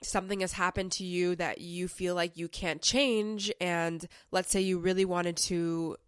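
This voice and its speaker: A woman's voice: fairly low pitch, steady tone, a little slow, smooth, no room sound, clean studio sound. A young woman hosting a casual self-help podcast, talking in a chatty, conversational way with lots of filler words while a little sick, her voice slightly off. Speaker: podcast host.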